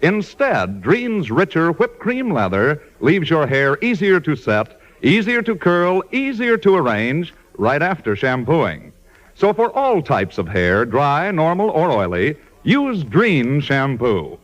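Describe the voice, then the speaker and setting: An American male radio announcer from the 1940s delivers a polished commercial. His voice is highish and swings with lively emphasis. The recording is dull and lo-fi. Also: unhurried. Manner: polished sales pitch.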